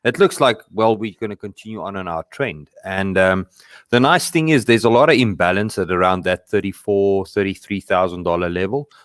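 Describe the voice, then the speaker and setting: A man with an Afrikaans accent, a guest trader slowly explaining his view of the market with some hesitations. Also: sits low, fairly bright tone, dry recording, clean studio sound.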